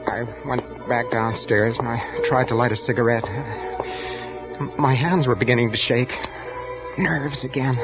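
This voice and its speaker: A man's voice: medium pitch, natural voice. Haunted husband in an old radio thriller, a man acting. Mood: nervy, brooding. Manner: intense, haunted, halting delivery. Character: nervous, guilt-ridden husband plotting to poison his wife. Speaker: narrator and lead actor. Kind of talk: 1940s radio thriller acting, first-person narration. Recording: muffled vintage radio broadcast, music underneath.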